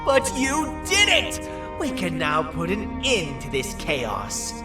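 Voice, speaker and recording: Anxious theatrical female jester creature for a cartoonish game: lively swoops, unhurried, roomy sound. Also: lowish voice, full character voice. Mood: relieved, puzzled. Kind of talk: video game character acting. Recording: echoey, distant, loud music underneath.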